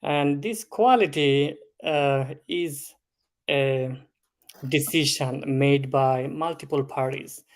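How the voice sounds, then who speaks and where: Man in his 30s from Bangladesh, consultant guest, slow careful explainer. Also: mid-range voice. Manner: slow, measured, explanatory with filler ums. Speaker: food safety consultant, guest. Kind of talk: interview answers.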